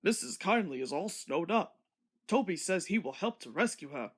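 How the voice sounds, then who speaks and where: Storybook railway boss, a woman acting: older man, pompous, hearty, authoritative yet kind, animated delivery. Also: fairly low pitch, normal speed, slightly rough. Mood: grave.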